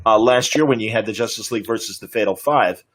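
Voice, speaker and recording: A man's voice: lowish voice, quick pace, a bit bright, slight background noise. A man hosting a panel interview, asking hesitant, rambling questions. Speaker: interview host and moderator. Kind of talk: fan panel interview over video call. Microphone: video call.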